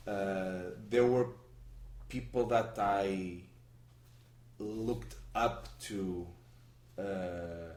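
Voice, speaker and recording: A very deep-voiced man from Portugal, Portuguese his first language, talks haltingly in an interview, restarting his sentences and filling pauses. The room around him rings with a clear echo. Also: a little mellow. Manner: halting, many restarts and fillers. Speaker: guest magician. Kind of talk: conversational interview. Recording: roomy echo.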